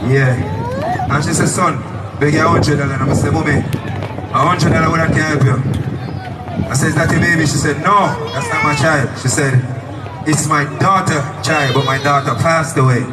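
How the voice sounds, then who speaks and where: A Jamaican street performer with a high voice spins an animated story, acting out the people in it. He is picked up from a distance outdoors, with echo and a loud crowd and traffic around him. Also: moderate pace, over loud music. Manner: animated, calls out to people, acts out quotes.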